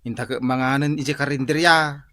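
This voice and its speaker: A man's voice: medium pitch, fairly even intonation, moderate pace, background noise, natural voice. A man acting a teasing, easygoing workmate in a comedy radio drama, bantering and exclaiming. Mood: nagging, friendly.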